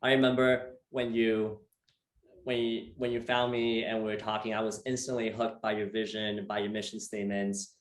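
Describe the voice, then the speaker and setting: A man's voice: fairly low pitch, level tone, moderate pace. A young man from Taiwan hosting a casual interview, upbeat and full of fillers and repeated words. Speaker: host. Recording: echoey room.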